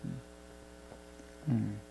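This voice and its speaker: A man in his fifties preaching a reflective sermon to ministry staff in a halting way, pausing often and repeating phrases. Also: dark tone, poor audio. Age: 50s. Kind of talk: reflective sermon with scripture readings.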